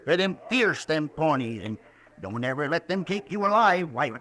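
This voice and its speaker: A man's voice: on the high side, very animated, normal speed, bright, forceful tone. An actor playing a cranky, boastful old American frontiersman of about eighty in a radio western, rambling and stammering as he goes. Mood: fierce. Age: elderly character of about eighty. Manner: rambling, stammering, repeats himself.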